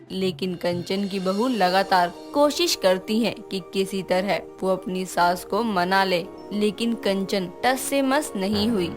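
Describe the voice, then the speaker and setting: A woman reading scripted narration as the storyteller of a family tale. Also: mid-range voice. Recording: music behind the voice.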